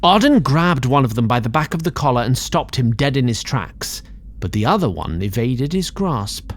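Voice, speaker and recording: A man giving scripted narration for a fantasy audio drama in a measured, theatrical storytelling manner. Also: medium pitch, big pitch swings. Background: music.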